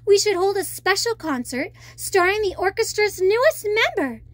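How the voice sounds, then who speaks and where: A plucky young mermaid princess from a children's storybook, voiced by a woman, high-pitched and kind. She speaks in an optimistic, coaxing way. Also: sing-song, moderate pace, no room sound.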